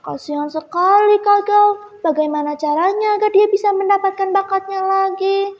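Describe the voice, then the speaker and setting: A young female storyteller voicing concerned, gossiping village friends in puzzled, hushed asides. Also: very high voice, fairly even intonation, moderate pace, pitched above the performer's own voice. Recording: muffled echoey hall recording.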